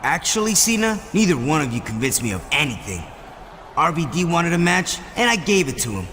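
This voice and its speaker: Probing authority figure in reverberant hall. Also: male voice, on the high side, sing-song, sharp tone, a little hiss or hum. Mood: stern, suspicious. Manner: pointed, measured questioning. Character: serious, probing male wrestling authority figure investigating. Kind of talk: video game cutscene acting.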